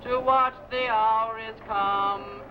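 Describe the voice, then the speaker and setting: An actor playing a ship's watchman who calls the hour in a drawn-out singsong, in an old adventure serial. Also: high voice, fairly even intonation, a little hiss or hum. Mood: solemn chanting. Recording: muffled old soundtrack, faint music.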